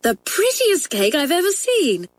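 A sensible, good-natured schoolgirl, as a woman puts her on, pitched somewhat above the reader's own voice. She talks in a friendly, plain way. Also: huge pitch swings, measured pace, no echo, heavily acted. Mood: admiring.

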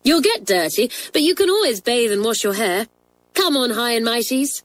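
Woman doing a bossy school girl, blunt n teasing, bit higher, kids storybook. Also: lively intonation, moderate pace, no room sound. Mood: teasing, brisk. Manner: chivvying, blunt.

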